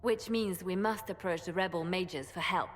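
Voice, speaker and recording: Poised diplomat played by a woman, low-pitched. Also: moderate pace, close to an everyday voice. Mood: brisk, decided. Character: poised, practical female diplomat in a fantasy war council. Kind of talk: video game cutscene acting. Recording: long hall reverb.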